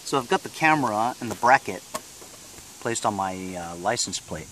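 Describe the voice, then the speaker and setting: A man hosting a repair vlog, talking casually through hands-on work in a halting, thinking-aloud way with frequent "you know" tags. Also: fairly low pitch, expressive, pushed and bright, slight background noise, poor audio. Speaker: host of a DIY repair vlog. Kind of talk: casual hands-on tutorial narration.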